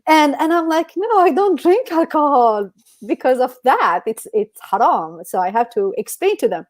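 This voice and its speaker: A woman's voice: high voice, very animated, normal speed. A woman co-hosting a podcast, telling personal stories in a casual, emphatic, conversational way full of "you know". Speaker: podcast co-host.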